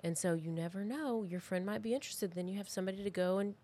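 A woman's voice: medium pitch, quick pace, mellow. A woman co-hosting a podcast, chatting in a rambling, joking, informal way. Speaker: podcast co-host and storyteller. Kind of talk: casual chat.

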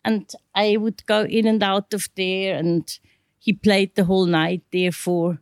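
A woman's voice: medium pitch, little pitch movement, measured pace, clean tone, no echo, clean studio sound. An older woman with a South African accent, reminiscing casually in a blunt, matter-of-fact way. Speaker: musician's mother, interview guest.

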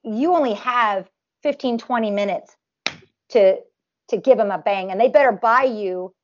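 Woman, nasal, slightly high voice, chatty and rambling, muffled lo-fi sound. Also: normal speed, a little hiss or hum. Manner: talks in long runs with many restarts and fillers. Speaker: tribute performer guest. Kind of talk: casual interview chat.

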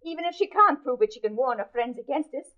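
An actress playing an anxious, scheming swindler in a 1940s radio drama, urgent and insistent. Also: female voice, high voice, expressive, quick pace. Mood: alarmed, urgent. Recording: muffled 1940s radio recording.

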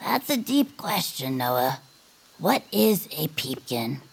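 A woman voicing a wry, dreamy young female peepkin inventor in an audio drama, with a slightly gravelly voice. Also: low voice, slow, exaggerated voice. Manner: wry, deadpan, theatrical.

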